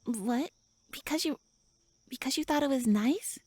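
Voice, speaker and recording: High-pitched pouty tiny elf. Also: female voice, a little slow, darkish tone, slightly rough, no room sound. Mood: pouty, flustered. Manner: flustered, indignant, huffy, stammering. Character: tiny pouty tsundere elf woman with little wings. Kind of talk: roleplay audio drama addressed to the listener.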